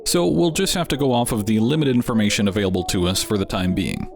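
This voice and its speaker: A man's voice: lowish voice, fast, vocal fry. A man hosting and narrating a video in a wry, conversational way. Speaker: host. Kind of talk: scripted narration. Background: music.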